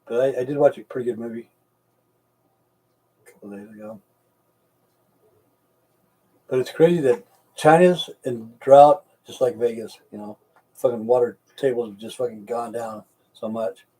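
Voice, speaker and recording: A man's voice: medium pitch, moderate pace, pauses often, warm tone, slightly rough, recorded from a distance, poor audio. A middle-aged or older American man chatting casually and rambling to live stream viewers, with a blunt, folksy, profane way of talking.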